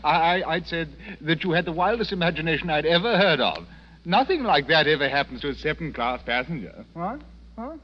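An actor playing a stuffy, stammering gentleman tourist in 1940s radio style. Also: on the high side, lively intonation, a little fast. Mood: amused disbelief. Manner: stammering, blustering. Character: stuffy, blustering British gentleman tourist, easily amused. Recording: muffled old radio broadcast.

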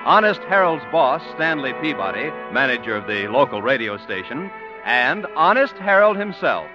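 A man's voice: mid-range voice, sing-song, normal speed. A man announcing and narrating a radio show in the style of the 1950s. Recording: dull old radio recording. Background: music.

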